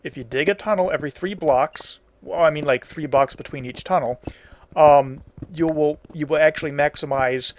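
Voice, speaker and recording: A man's voice: fairly high pitch, normal speed, slight background noise. A man hosting a casual video game tutorial, explaining each step in plain, folksy wording. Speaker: tutorial host.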